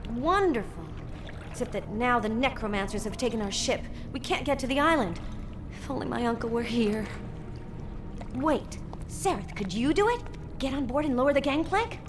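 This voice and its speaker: Young noblewoman ally female actor, fantasy game: earnest, gentle, anxious, highish voice with lively intonation. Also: moderate pace, noisy background, lightly acted. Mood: earnest, pleading. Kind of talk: dramatic fantasy game acting.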